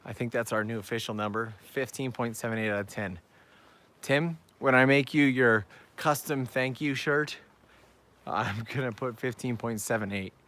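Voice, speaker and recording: A man hosting a live travel stream outdoors, chatting upbeat to viewers while walking, his voice going hoarse. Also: medium pitch, moderate pace, fairly bright tone. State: losing his voice. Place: outdoors on town backstreets at evening.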